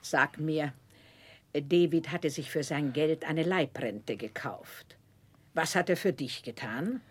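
An actress playing a gruff, impatient middle-aged aunt in a radio drama, with curt, snapping speech and a husky voice. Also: female voice, low voice, slow, exaggerated voice. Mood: stern inquiry. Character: brusque, imperious, impatient eccentric aunt. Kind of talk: 1960s German radio drama acting.

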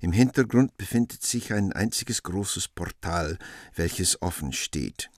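A man's voice: low-pitched, animated, measured pace, no echo, studio quality. A man reading scripted stage directions as narrator of a radio play.

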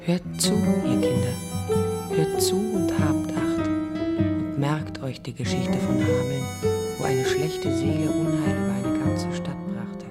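An actress playing a tender, firm German mother in a radio drama, speaking deliberately, like a solemn storyteller. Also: rumbling low. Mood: solemn, ominous. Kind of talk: radio drama acting, fairy-tale storytelling. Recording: music behind the voice.

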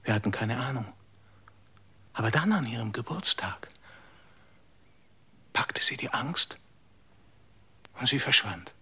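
An actor in a radio drama playing a distraught man who searches for his hidden little sister, talking in hushed whispers. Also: medium pitch, animated, takes it slowly, long pauses, slightly rough, exaggerated voice. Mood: hushed, dazed.

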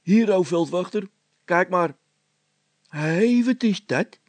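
A man voicing an eager, questioning village workman in a storybook, loud and excitable. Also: high-pitched, slow, dry recording, voice pushed up.

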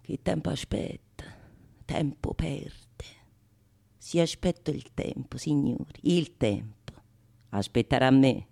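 An actress playing a shrewd, mocking courtesan in a theatrical radio monologue, declamatory and sardonic. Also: female voice, extremely low pitch, animated, takes it slowly, vocal fry, breathy edge, exaggerated voice. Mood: shrewd, calculating. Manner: declamatory, sardonic, swinging from sung refrains to hushed asides. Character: shrewd, mocking, calculating courtesan scorning her besotted suitor. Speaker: stage actress performing solo.

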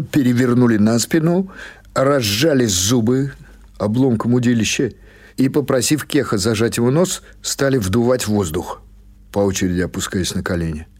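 A man's voice: fairly low pitch, a little mellow. A man reading literary fiction aloud as a scripted audiobook narration.

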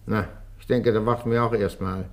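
An actor playing a dismissive, self-assured police inspector in a German radio crime drama, speaking with condescending authority. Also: male voice, on the low side, steady tone, brisk, gravelly. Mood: dismissive, authoritative.

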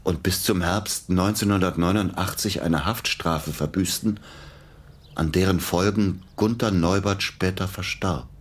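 Deep-voiced, hesitant petty ex-convict. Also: male voice, unhurried. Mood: flat, reading. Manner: hesitant, placating. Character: uneasy, conscience-stricken petty ex-convict, reluctant accomplice. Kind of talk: German radio crime play acting.

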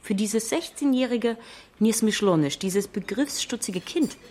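A woman reading a translated interview as an expressive documentary voice-over. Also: on the high side, a little slow, a little hiss or hum.

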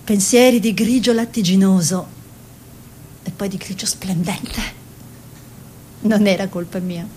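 A woman's voice: mid-range voice, fairly even intonation, measured pace, long pauses, dark tone, a little hiss or hum, natural voice. An actress playing a proud, anxious painter's wife and mother, once a peasant model, in an emotive, hesitant radio drama. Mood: wistful. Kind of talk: intimate theatrical radio drama, reminiscing dialogue.

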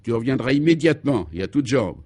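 A man's voice: medium pitch, big pitch swings, fast, a little mellow, no room sound. A man playing a bossy conspirator in a comic radio play, commanding, pompous and exacting as he gives absurd orders. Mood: urgent, commanding.

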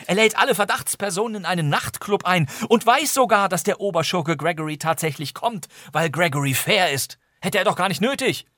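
A man's voice: high voice, fairly quick, few pauses, pushed and bright, no echo, studio quality. A man playing a self-assured show leader in a comic radio play, explaining things breezily and emphatically. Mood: defensive, breezy. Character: self-assured male show leader defending his silly plot.

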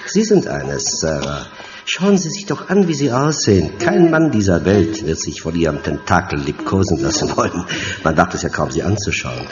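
An actor playing a hunchbacked, vengeful mad scientist in a horror audio drama, calm and deep-voiced, taunting with mock courtesy. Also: male voice, moderate pace, over music. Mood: gloating, taunting. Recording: dull sound in a long echoing hall.

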